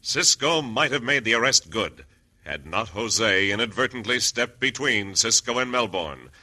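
A man's voice: medium pitch, animated, moderate pace, sharp tone, poor audio. An American man narrating a scripted old-time radio serial as announcer, with emphatic, dramatic delivery. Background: faint music.

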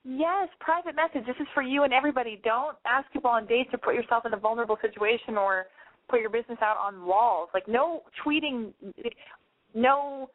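Fast-talking chatty woman on a tinny line. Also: fairly high pitch. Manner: chatty, emphatic, big-sister advice. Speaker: advice show host. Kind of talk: casual advice call-in chat.

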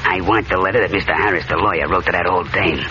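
A muffled vintage radio recording carries a menacing gangster henchman, played by a male actor. He talks in a low, clipped, slangy growl of threats, in 1940s radio drama style. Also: fast, noisy background, close to an everyday voice. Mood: quiet menace.

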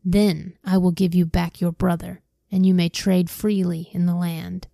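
A woman's voice: fairly low pitch, flat delivery, unhurried, creaky, mellow, dry recording, clean studio sound. A woman reading the part of a stern, powerful male governor giving orders.

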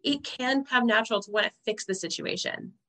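A woman host with a loud voice that projects, responding with affirming and reflective comments before asking her guest questions. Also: on the high side, fairly quick. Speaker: interviewer, host. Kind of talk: conversational interview.

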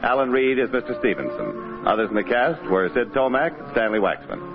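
Fast American radio announcer man over music. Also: mid-range voice, sing-song. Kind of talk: 1940s-50s radio announcing, scripted. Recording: muffled old broadcast over loud music.

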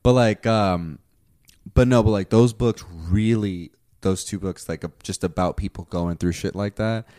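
A man's voice: lowish voice, fairly even intonation, normal speed, dark tone, no echo, studio quality. A man in his twenties chatting casually, rambling and swearing, with lots of fillers. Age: 20s. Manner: rambling, profane, many fillers. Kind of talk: casual chat.

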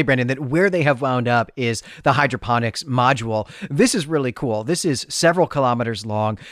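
A man with a medium-pitched voice talks through fiction as a podcast co-host. He is articulate and explanatory, with an enthusiastic, conversational delivery. The sound is very dry, with no room around it. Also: no room sound. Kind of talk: conversational literary recap and discussion.

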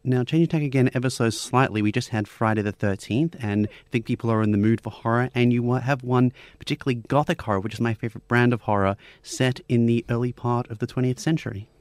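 A man's voice: medium pitch, fast talker, hardly any pauses, no echo. A man hosting a radio show, interviewing a guest and leading into the next topic with friendly questions. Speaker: radio host.

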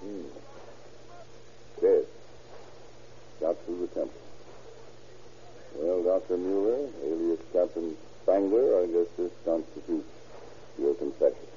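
An actor playing a grim police officer who speaks low and deliberately in a 1930s radio drama. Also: male voice, dark tone. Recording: muffled old radio recording with hiss.

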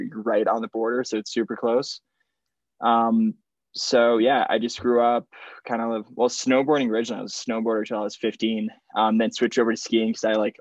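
A man's voice: lowish voice, no echo, lo-fi sound. A young man from Switzerland whose first language is French, talking casually and rambling as a podcast guest, with lots of fillers. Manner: relaxed, rambling with many fillers. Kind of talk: casual conversation.

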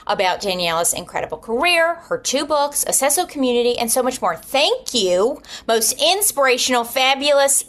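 A woman's voice: high voice, sing-song, moderate pace, hardly any pauses, fairly bright tone. A woman hosting a podcast interview in an effusive, gushing manner. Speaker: podcast host. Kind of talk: scripted introduction, then casual interview chat.

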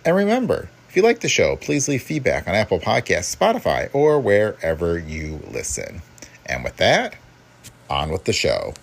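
A man's voice: sits low, wildly swooping pitch, moderate pace, vocal fry. A man hosting a podcast, reading a scripted introduction. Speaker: podcast host.

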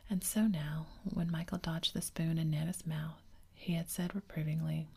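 A woman's voice: low-pitched, fairly even intonation, moderate pace, vocal fry, darkish tone, slightly rough. Whispering woman reading a storybook. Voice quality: whispering throughout. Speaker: narrator.

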